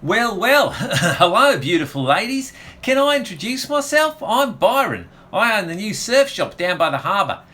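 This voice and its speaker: Ator fazendo um jovem surfista galanteador, entonação muito variada. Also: male voice, high voice, very animated, normal speed, hardly any pauses, sharp tone, heavily acted. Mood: flirty. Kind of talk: audio comedy radio play acting.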